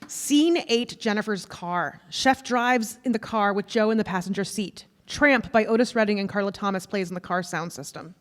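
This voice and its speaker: A woman's voice: mid-range voice, normal speed, hardly any pauses. Woman reading stage directions in reverberant hall. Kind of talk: scripted narration at staged reading. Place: theatre stage. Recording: reverberant theatre hall recording.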